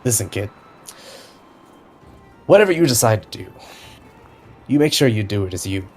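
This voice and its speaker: A man's voice: fairly low pitch, animated, normal speed, darkish tone. A man playing a laid-back, self-assured mentor who would be king, in a tabletop audio drama, talking with encouraging assurance. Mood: reassuring. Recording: music behind the voice.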